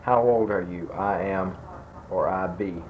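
A man in his sixties, speaking softly and slowly as he asks grammar fill-in-the-blank questions, his sentences trailing off. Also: low voice. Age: 60s. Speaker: interviewer giving a grammar quiz. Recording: dull lo-fi tape with faint noise.

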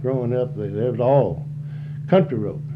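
A man's voice: mid-range voice, normal speed, phone-quality sound, noisy background. A seventy-year-old man, reminiscing in a rambling, folksy way and often repeating himself as he is interviewed. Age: 70s. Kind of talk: oral history reminiscence.

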